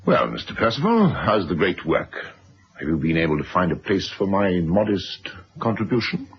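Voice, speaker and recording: Deep, gravelly blustering businessman. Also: big pitch swings, normal speed. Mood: brisk, genial. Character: brusque self-made businessman, husband and father. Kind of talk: 1970s radio drama acting. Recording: dull, noisy old radio recording.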